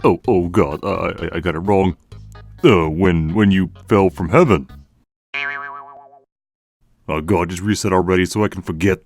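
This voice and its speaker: A man voicing a bumbling, flirty monster, awkward and easily flustered, in an animated comic dub. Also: low-pitched, normal speed, pauses often, no room sound, soft background music. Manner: stammering, awkward.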